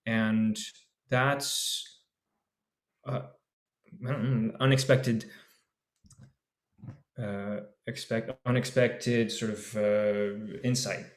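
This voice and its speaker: A man, an actor, talking on a discussion panel, reflecting slowly with many restarts and searches for words. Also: fairly low pitch, steady tone, roomy sound. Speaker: actor in the play.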